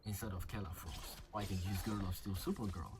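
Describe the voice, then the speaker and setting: A young man talks his way through a mobile fighting game, chatty and a little crude as he thinks aloud. His voice sits slightly low and carries a touch of flu. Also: steady tone, quick pace. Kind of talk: casual gameplay commentary.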